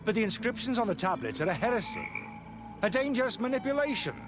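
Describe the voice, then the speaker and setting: An actor playing a scheming, self-righteous priest in a video game, speaking in an impassioned, haughty and declamatory way. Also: male voice, high-pitched, very animated, fast, background noise. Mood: righteous fervor. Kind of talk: dramatic game dialogue.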